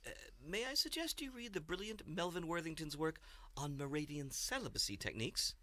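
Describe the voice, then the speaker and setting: Bright, fawning young superfan. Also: male voice, a little high, expressive, fairly quick. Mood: gushing. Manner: hesitant, gushing, obsequious. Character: fawning, nervous young male superfan from another planet. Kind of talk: comedic audio drama acting.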